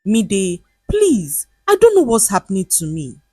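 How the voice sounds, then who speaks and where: A woman's voice: medium pitch, huge pitch swings, measured pace, warm tone, breathy edge, no echo, studio quality, heavily acted. A woman voicing a distressed, embarrassed young woman in a folktale, anxious and pleading.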